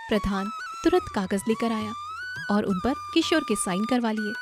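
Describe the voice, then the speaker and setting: Animated woman narrator, music behind. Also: a little high, normal speed. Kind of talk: scripted storytelling narration.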